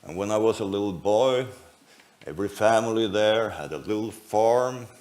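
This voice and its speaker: A man in his seventies from northern Sweden, speaking English with a Swedish accent in a measured, pausing conference talk with dry understatement. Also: lowish voice, expressive, a little mellow.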